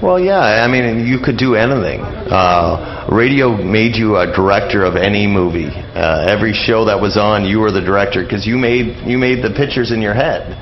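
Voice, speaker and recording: An older man with a low, gravelly voice talks casually as an interview guest. He rambles and hesitates, pausing often as he explains. The audio is dull and lo-fi. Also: lively intonation. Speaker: radio show presenter, interview guest.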